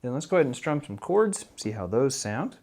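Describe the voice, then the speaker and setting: A man casually reviewing and demoing a condenser microphone in a small, fairly dead room. He talks in a relaxed, rambling way with many fillers, and his voice is a little low from recovering from an illness. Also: brisk, loud music behind the voice. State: slightly low voice, getting over an illness. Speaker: music teacher reviewing a microphone. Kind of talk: casual gear review and demo. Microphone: condenser microphone, no pop filter, varying distance.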